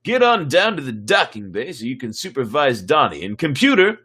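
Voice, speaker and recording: A man's voice: medium pitch, sing-song, moderate pace, sharp tone, slightly rough, heavily acted. A man putting on a pompous, self-important male starship commander in a dramatic sci-fi reading, over music. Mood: commanding.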